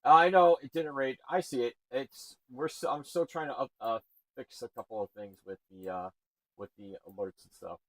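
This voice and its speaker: A man with a booming voice hosting a live stream, energetic and shouting out viewers. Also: moderate pace, low-quality recording. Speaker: stream host.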